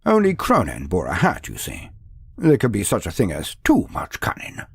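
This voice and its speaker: A man reading scripted lore as a theatrical storyteller, with dramatic pauses and asides. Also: fairly low pitch, wildly swooping pitch, creaky, slightly rough, no room sound, clean studio sound. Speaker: narrator of a lore guide.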